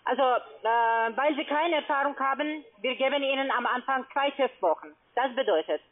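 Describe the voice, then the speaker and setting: A woman with a foreign, non-native German accent giving a halting telephone sales pitch, repeating and rephrasing as she explains. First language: not German. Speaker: call-centre sales agent pitching trading. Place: phone call. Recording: tinny, narrow-band phone line.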